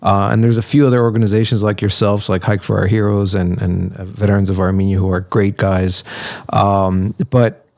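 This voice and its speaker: A man hosting a podcast interview in a conversational, somewhat halting manner. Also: fairly low pitch, level tone, vocal fry, darkish tone, dry recording. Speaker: podcast host.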